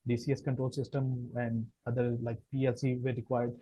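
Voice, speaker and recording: A man with a Pakistani accent, a Punjabi speaker, answering podcast interview questions as a chemical engineer, talking haltingly with many fillers and restarts. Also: medium pitch, flat delivery, mellow, slight background noise, low-quality recording.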